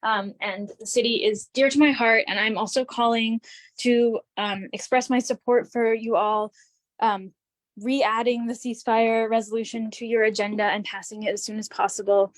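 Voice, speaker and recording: Higher-pitched American woman calling in. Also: steady tone, normal speed, no echo. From California, USA. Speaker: public commenter calling in. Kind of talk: polite public comment.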